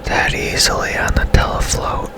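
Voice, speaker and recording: A man whispering ASMR commentary as he plays a game, hushed and unhurried, musing aloud as he goes. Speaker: gaming ASMR host.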